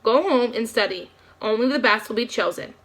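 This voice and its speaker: A woman voicing a stern male schoolteacher in a storybook, formal and deliberate. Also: a little high, lively intonation, fairly bright tone.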